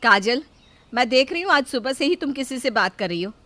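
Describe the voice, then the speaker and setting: A woman voicing a caring, anxious elder sister-in-law in a moral story, gentle and pleading. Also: high voice, animated, a little fast, no echo, pitched above the performer's own voice. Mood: curious. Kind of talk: Hindi moral story character dialogue.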